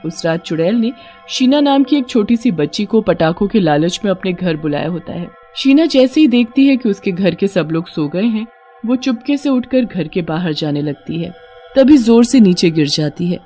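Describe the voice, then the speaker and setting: A woman's voice: mid-range voice, animated, moderate pace, mellow, soft background music. A woman narrator reading a scripted horror story for a cartoon.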